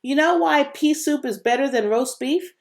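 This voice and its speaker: A woman's voice: high-pitched, moderate pace, pitched above the performer's own voice. A woman voicing a cocky, folksy boy who likes to tease, in a children's storybook. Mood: enthusiastic.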